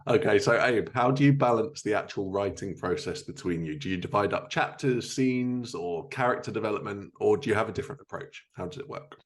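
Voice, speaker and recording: A man's voice: on the low side, a little fast, few pauses. A man hosting a podcast, speaking in a casual interviewing style. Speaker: podcast host.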